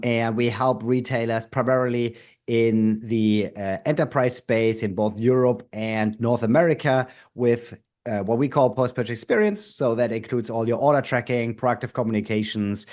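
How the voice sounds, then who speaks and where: A man's voice: medium pitch, moderate pace, darkish tone. A man from Germany with a German accent, speaking as a company founder in a conversational interview and often checking in with "right?". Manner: thoughtful, tags sentences with "right?".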